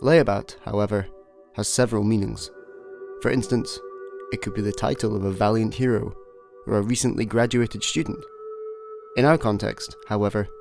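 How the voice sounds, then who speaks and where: Deep, deadpan Scottish actor as male narrator. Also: vocal fry. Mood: deadpan, mock-grand. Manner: deliberate, deadpan, mock-grand. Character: wry, measured storyteller of a comic space adventure. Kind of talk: scripted narration, comedy radio play. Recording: music behind the voice.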